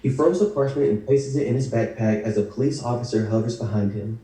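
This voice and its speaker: Very dark-voiced man narrating, echoey room. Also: mid-range voice, fairly quick. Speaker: script narrator. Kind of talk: reading screenplay action lines at a table read. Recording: very echoey room, distant mic.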